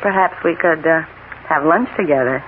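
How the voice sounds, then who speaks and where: A woman's voice: low-pitched, normal speed, natural voice. An actress as a flirtatious showgirl on tinny radio. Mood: playful, coy. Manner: playful, coquettish. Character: flirtatious, breezy nightclub performer, a woman. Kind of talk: 1940s radio drama acting. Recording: tinny, narrow old radio with faint noise.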